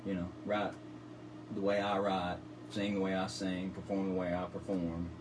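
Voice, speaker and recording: A man with a gravelly voice, a musician guest talking easily and modestly in a radio studio interview. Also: sits low, level tone, normal speed. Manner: modest, easygoing, trailing off. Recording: studio mic with audible background noise.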